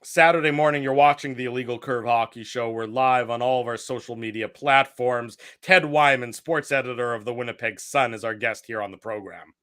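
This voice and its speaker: A man hosting a sports talk show, giving relaxed, conversational analysis and reading station breaks. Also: medium pitch, fairly quick, hardly any pauses. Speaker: show host.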